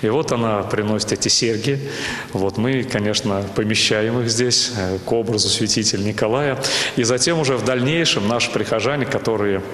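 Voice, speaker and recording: A man's voice: lowish voice, brisk, a bit bright, slight background noise. A middle-aged man, a parish priest, telling stories in an on-camera interview. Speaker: parish priest, church rector. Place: inside a small church. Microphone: handheld interview microphone. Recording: echoey, reverberant church interior.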